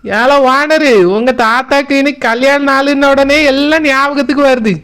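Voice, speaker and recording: A high-pitched old village grandmother played by a woman chats in a Kanyakumari Tamil accent. She is proud and teasing, telling stories in colloquial cartoon-comedy style. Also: fairly even intonation, normal speed, fairly bright tone. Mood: proud, nostalgic. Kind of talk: comic cartoon dialogue, storytelling.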